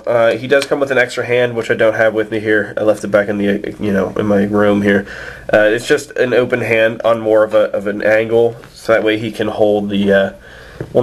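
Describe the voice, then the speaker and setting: A man's voice: fairly low pitch, a little hiss or hum. A man with a stuffed-up nose from a cold, casually reviewing an action figure with many fillers and restarts. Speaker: toy reviewer.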